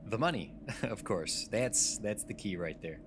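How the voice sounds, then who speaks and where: Man as money-driven male boss, deep-ish voice, self-satisfied, businesslike, radio play, noisy backdrop. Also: lively intonation, measured pace. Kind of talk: radio drama acting. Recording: clearly noisy room background.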